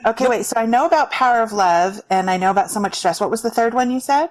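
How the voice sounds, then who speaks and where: A woman's voice: on the high side, quick pace, poor audio. A woman in her fifties co-hosting a podcast, chatting casually with guests and offering praise. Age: 50s. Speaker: podcast co-host. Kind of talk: casual interview chat.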